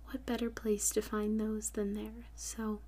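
A woman hosts a sleep podcast in a soft ASMR whisper. Her voice is airy and breathy, with very little rise and fall. She takes her time, carefully repeating and spelling out words at a slow pace. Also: medium pitch, level tone. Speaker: podcast host.

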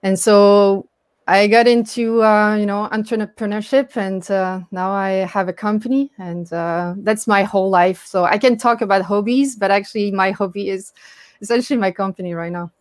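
A woman's voice: medium pitch, fairly even intonation, moderate pace, dry recording, clean studio sound. A woman from France with a French accent, answering interview questions as a startup founder on a video call, with many fillers and restarts. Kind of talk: online interview talk.

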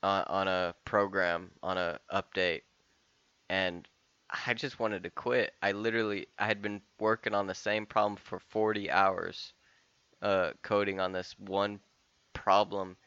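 A man's voice: sits low, little pitch movement, a little slow, fairly bright tone, clean tone, no echo, lo-fi sound. A man of about thirty talking casually and candidly in a rambling solo podcast monologue, often restarting and swearing. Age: 30s. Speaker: podcast host.

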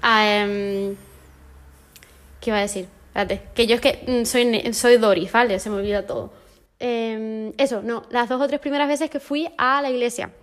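Una mujer joven con acento de España, invitada en un pódcast, charlando y contando anécdotas entre risas. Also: female voice, fairly high pitch, steady tone, moderate pace. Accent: Spain Spanish. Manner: chatty, laughing storyteller. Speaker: podcast guest. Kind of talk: casual conversation.

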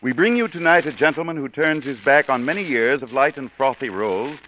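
A man's voice: mid-range voice, lively intonation, brisk, a little hiss or hum. A man hosting a radio theater broadcast, giving a formal, florid introduction in a polished announcer manner, on a dull lo-fi recording. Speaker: radio show host and producer.

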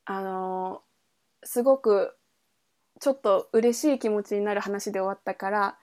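A woman's voice: mid-range voice, measured pace, darkish tone. A woman podcast host in a casual book chat, thinking aloud in trailing, loosely linked phrases. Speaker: podcast host reviewing a novel.

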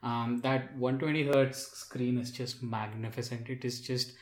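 A man's voice: medium pitch, fairly even intonation, a little slow. Echoey male tech podcast co-host. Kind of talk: casual tech discussion. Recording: roomy, echoing room sound.

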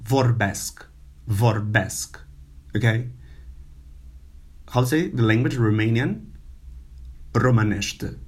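Slow, halting non-native male teacher. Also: lowish voice, husky. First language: not English. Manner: slow, halting, many fillers and repetitions. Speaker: language teacher. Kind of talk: informal language lesson.

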